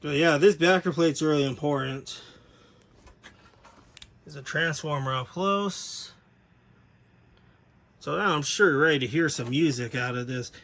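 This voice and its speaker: A man giving a casual, unscripted show-and-tell as a hobbyist presenter, talking in a rambling, halting, detail-focused way. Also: fairly high pitch, fairly bright tone. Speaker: hobbyist presenter showing his speaker collection.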